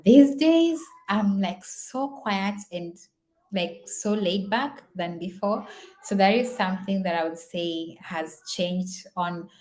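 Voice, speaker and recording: A woman's voice: medium pitch, takes it slowly, a little mellow, low-quality recording. A young woman whose first language is Swahili, talking in a casual, reflective vlog, chatty and full of fillers and repeats. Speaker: vlogger.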